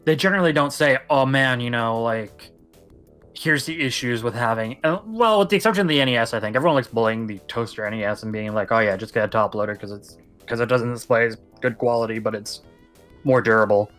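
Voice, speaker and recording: A man's voice: medium pitch, brisk, fairly bright tone, faint music behind. A young man co-hosting a casual podcast, rambling and emphatic as he talks at length. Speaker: podcast co-host. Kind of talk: casual chat.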